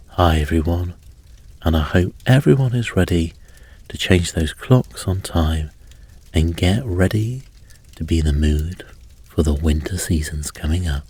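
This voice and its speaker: Male sleep hypnosis guide, unhurried and gentle, soft breathy husk, measured pauses. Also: sits low, no room sound. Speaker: hypnosis and meditation host. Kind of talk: guided sleep hypnosis, slow soothing narration.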